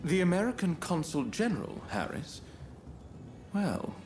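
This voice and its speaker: An actor playing a composed, persuasive diplomat in a video game, speaking politely and in measured phrases. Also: male voice, high-pitched, big pitch swings, a little mellow, faint music behind. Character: composed, persuasive diplomatic gentleman in feudal Japan. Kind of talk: video game cutscene acting.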